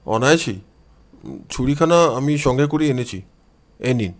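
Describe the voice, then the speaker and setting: A man's voice: medium pitch, animated, unhurried, voice pushed down, close to an everyday voice. A man voicing a courteous, troubled police inspector who explains things politely in an audio story. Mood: assured.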